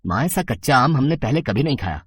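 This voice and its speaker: A woman's voice: rumbling low, big pitch swings, a little fast, breathy edge, no room sound, studio quality, pitched below the performer's own voice, exaggerated voice. A woman voicing an eager, pleading child in a children's moral story.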